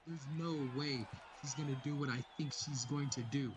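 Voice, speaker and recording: A man's voice: medium pitch, steady tone, normal speed, noisy background. A man doing a casual comic read-along commentary in a profane, slangy way.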